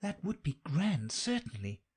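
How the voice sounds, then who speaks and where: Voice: mid-range voice, sing-song, measured pace, darkish tone, husky, pitched above the performer's own voice, full character voice. A reader voicing a curious, polite young girl who asks earnest questions. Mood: inquisitive. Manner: earnest, inquisitive, politely puzzled.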